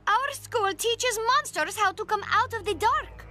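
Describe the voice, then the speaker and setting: Squeaky, earnest monster girl. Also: a little slow, music in the background. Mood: firm. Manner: girlish, eager, fretful. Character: earnest, bubbly, anxious teenage monster girl. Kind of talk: animated cartoon acting.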